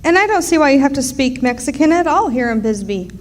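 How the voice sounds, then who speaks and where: An actress plays a high-pitched, folksy working-class wife and mother with firm opinions. It is a live radio play, with faint noise in the recording. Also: big pitch swings, fast. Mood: indignant. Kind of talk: live original radio drama. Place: live performance in a resort hall.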